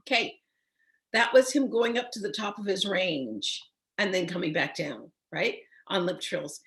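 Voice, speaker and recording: A woman voice teacher with a slightly hoarse, froggy voice, giving an online singing lesson and checking in often with "okay?". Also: on the low side, a little slow, fairly bright tone. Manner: encouraging, checks in with frequent "okay?".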